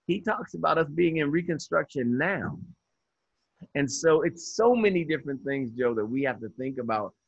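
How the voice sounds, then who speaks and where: A man's voice: fairly high pitch, fairly bright tone, no echo. Man, 50s, guest on a video call; dry, lo-fi webcam sound, earnest and thoughtful, many restarts. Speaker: guest educator. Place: indoors by a window.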